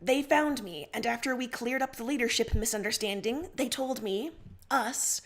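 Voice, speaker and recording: Woman as young alien emperor, higher voice, blunt, halting, earnest, storybook character. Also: natural voice. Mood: nervous, formal.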